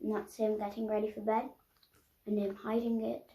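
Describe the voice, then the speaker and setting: A woman reading a children's picture book aloud expressively, pausing to explain the pictures. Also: mid-range voice, little pitch movement, mellow. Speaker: storybook reader. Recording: roomy, echoing sound.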